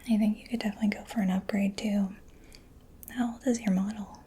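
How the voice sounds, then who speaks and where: Woman doing whispery asmr roleplay, really even soft tone, breathy, up close w some background noise. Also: medium pitch. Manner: gentle, unhurried, murmuring personal attention. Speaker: ASMR creator playing a robot mechanic. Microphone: close-up sensitive microphone.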